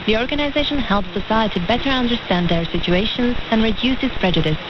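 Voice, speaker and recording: A woman's voice: on the high side, brisk. A woman reading a scripted voice-over translation on shortwave radio. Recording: loud hiss, muffled shortwave radio reception.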